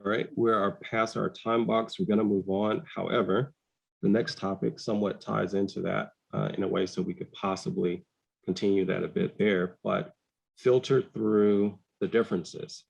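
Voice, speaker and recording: A man moderating a virtual panel, briskly keeping the discussion on time. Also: on the low side, steady tone, gravelly, breathy edge, poor audio.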